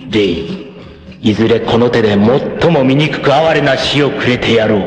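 A man's voice: moderate pace, soft background music, lightly acted. A man playing a vain, narcissistic, treacherous martial-arts villain in a 1980s anime, with a haughty, theatrical, mocking delivery. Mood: bitter pride. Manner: theatrical, haughty, mocking, erupting into shrill outrage. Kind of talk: dramatic 1980s anime voice acting.

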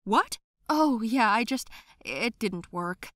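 A woman voicing a scheming middle-aged nanny who talks high and flustered, evasive and then cold. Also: animated, slow pace, a bit bright, no room sound, clean studio sound.

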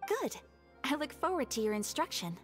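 A woman's voice: high voice, big pitch swings, moderate pace, fairly bright tone, faint music behind. An actress voicing a poised young noble swordswoman who speaks politely and formally.

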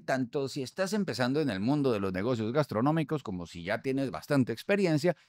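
A man, hosting a tutorial in an upbeat, conversational way with a few hesitations, recorded on a studio mic on a boom arm. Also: a little mellow, dry recording. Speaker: host. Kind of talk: tutorial explaining restaurant software. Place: studio room.

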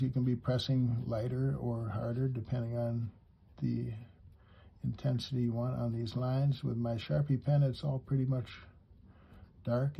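Male drawing teacher, husky voice, unhurried and halting as he explains each line, slightly muffled sound. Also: medium pitch. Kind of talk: step-by-step drawing lesson for kids, talking while drawing.

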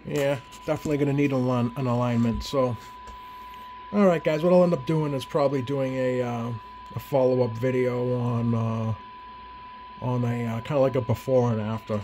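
Halting man thinking aloud, noisy room. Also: mid-range voice, steady tone, dark tone. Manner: halting, thinking aloud while working with hands. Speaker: radio repair hobbyist, video host. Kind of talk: casual unscripted demonstration talk to viewers. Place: indoor home electronics workbench.

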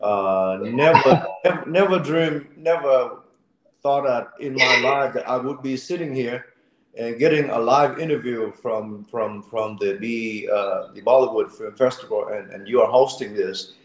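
A man from Vietnam, a guest on a video call, friendly and a bit tired, pausing often with fillers. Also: medium pitch, echoey room, low-quality recording. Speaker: guest, actor and fight choreographer. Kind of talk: interview answers over video call. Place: room at home. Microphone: video call webcam.

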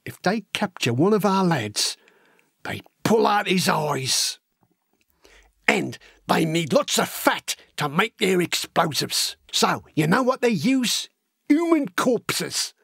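A man playing an excitable, high-pitched, gullible teenage lad. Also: takes it slowly, gravelly, slightly rough, no echo, studio quality, full character voice. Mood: excited, outraged. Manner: excitable, earnest, colloquial storytelling. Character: eager, gullible teenage lad itching to be a soldier. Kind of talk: acted children's audiobook monologue.

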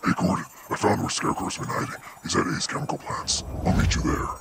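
A man voicing a cocky, dim, foul-mouthed vigilante hero in a gravelly growl for an amateur comedy game dub. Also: deep voice, flat delivery, quick pace. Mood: annoyed. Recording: music behind the voice.

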